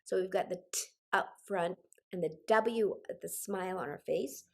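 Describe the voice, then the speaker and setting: A woman's voice: lowish voice, normal speed, gravelly, darkish tone. An older woman getting over a cold, leading a group singing session over a video call in an encouraging, directive way. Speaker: choir leader and singing instructor. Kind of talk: instructional group singing session with personal asides.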